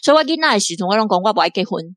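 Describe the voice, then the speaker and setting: A woman with a Taiwanese accent, an academic giving casual, animated, chuckly literary commentary. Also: medium pitch, very fast, no room sound, clean studio sound. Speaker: associate professor, literary commentator.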